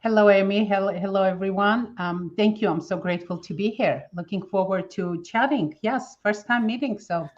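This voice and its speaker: A woman's voice: mid-range voice, moderate pace, few pauses, dull audio. A woman from Armenia in her fifties, a guest telling her story in earnest, broken-up sentences. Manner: earnest, grateful, speaks in fragments. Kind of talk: conversational storytelling.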